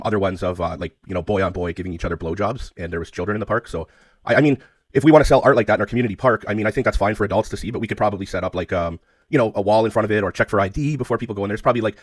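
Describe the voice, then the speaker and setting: Man, low pitch, very quick speech, hesitant, persistent, polite, phone caller, dry sound. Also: no echo. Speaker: caller, self-described media interviewer. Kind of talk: phone conversation.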